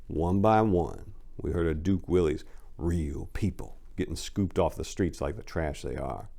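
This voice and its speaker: A man's voice: a bass voice, a little slow, vocal fry, dark tone, husky, heavily acted. A man voicing a laid-back, persuasive Southern crew leader with a Southern US accent in a crime story. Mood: confiding, easygoing. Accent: American South. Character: smooth-talking Southern crew leader, amused, folksy.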